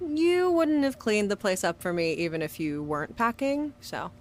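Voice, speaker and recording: A woman's voice: mid-range voice, big pitch swings, moderate pace, a little mellow, a little hiss or hum. An actress playing a blunt, teasing young woman in an audio drama, speaking in a casual, dry, needling way. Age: young adult.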